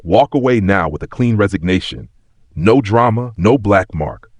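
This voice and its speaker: A man voicing a calm, stern, quietly menacing airline CEO in an audio story, measured and firm. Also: fairly low pitch, dry recording.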